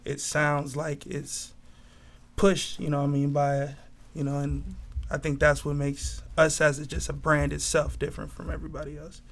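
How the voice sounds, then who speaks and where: A man's voice: fairly high pitch, monotone, normal speed. A man in his twenties from Southern California, a record label head talking in a loose, laid-back way with lots of slang and fillers. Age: 20s. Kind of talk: casual interview talk.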